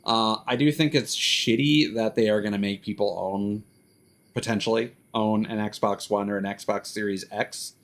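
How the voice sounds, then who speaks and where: A man live streaming a game, chatting casually with rambling, profane, opinionated commentary. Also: lowish voice. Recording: music behind the voice.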